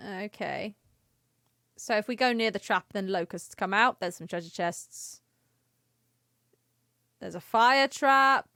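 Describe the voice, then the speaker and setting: A woman's voice: medium pitch, takes it slowly, pauses often, sharp tone, no room sound, clean studio sound. A woman giving casual, chatty livestream commentary on a video game, playful and given to sing-song exclamations. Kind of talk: casual gaming stream commentary. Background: faint music.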